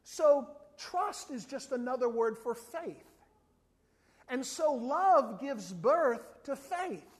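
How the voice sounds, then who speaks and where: Male preacher, sermon, humorous storytelling, animated delivery. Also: extremely high pitch, slow, breathy edge. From not from South Africa. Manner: animated storytelling, acts out other people's voices. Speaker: evangelist.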